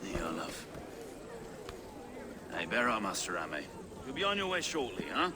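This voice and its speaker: An actor playing a harried, courteous gate warden in a video game, with a folksy, placating way of talking. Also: male voice, fairly low pitch, big pitch swings, normal speed. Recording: clear outdoor street ambience noise behind.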